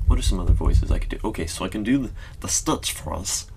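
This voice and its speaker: A man's voice: deep voice, lively intonation, quick pace, gravelly, noisy background. A man chatting casually and rambling in a self-deprecating way while playing a computer game on a live stream.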